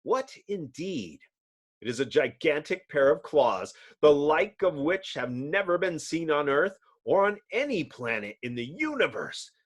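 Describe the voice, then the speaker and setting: A man's voice: on the high side, big pitch swings, measured pace. A man narrating a comic book dramatically, with theatrical, suspenseful emphasis.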